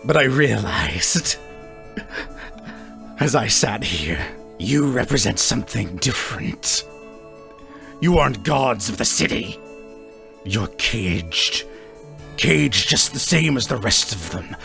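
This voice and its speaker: A man acts out a self-styled god of a tabletop game, snarling and gloating. The delivery is theatrical and unhurried, with a gravelly edge and quiet music underneath. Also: fairly low pitch, animated, heavily acted. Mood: mocking, gloating. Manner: gloating, theatrical, given to laughing. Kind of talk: tabletop roleplay character acting.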